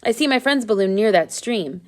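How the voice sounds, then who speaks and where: A woman's voice: medium pitch, lively intonation, moderate pace, pitched above the performer's own voice, close to an everyday voice. A woman voicing a friendly, easygoing man who pilots balloons in a storybook, folksy and reassuring. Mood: instructing.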